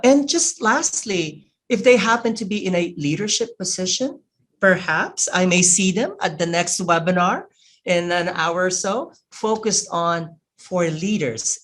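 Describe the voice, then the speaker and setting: A webinar facilitator from the Philippines, a Tagalog speaker by birth, presenting over a video call. The delivery is earnest and measured, with a middle-range voice. They walk listeners through their slides step by step. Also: medium pitch, expressive, fairly bright tone. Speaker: workshop facilitator.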